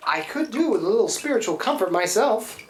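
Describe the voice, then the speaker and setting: In a roomy live recording with faint noise, a woman plays a scruffy, hard-drinking riverboat man for a radio play. He talks in a folksy, rambling way, wheedling and put-upon, swinging widely in pitch. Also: very animated, a little fast, close to an everyday voice. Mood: sheepish, coaxing. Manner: folksy, rambling, theatrical working-class speech. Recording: roomy live stage, watery foley noise.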